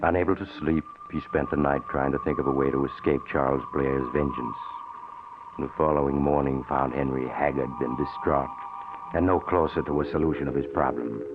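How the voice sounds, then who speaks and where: A man's voice: very deep voice, fairly even intonation, moderate pace. A man narrating a suspense tale in a grave, dramatic vintage radio style. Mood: grave, ominous. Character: grave male narrator of mystery tales. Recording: noisy, tinny old radio recording.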